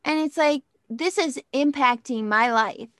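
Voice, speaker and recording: A woman's voice: high-pitched, unhurried, fairly bright tone, no room sound, studio quality. An American woman in her thirties co-hosting a podcast in casual chat, with a self-deprecating, wryly exaggerating manner. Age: 30s. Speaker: podcast co-host.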